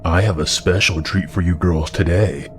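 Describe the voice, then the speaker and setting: A man's voice: low voice, fairly even intonation, normal speed, soft background music, voice pushed down, natural voice. A man voicing a creepy, coaxing ice cream truck driver in a horror story reading.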